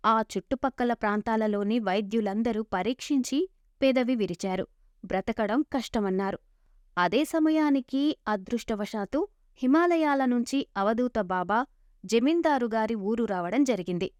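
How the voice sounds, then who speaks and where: A woman's voice: on the high side, normal speed, a little mellow, no room sound. A woman narrating a scripted moral story as a storyteller. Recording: dull lo-fi sound.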